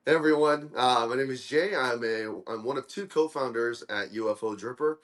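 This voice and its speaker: A young man from Korea, a guest in a casual interview, talking in a relaxed, friendly way with lots of "uh" fillers. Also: mid-range voice, moderate pace. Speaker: guest, coffee dripper co-founder.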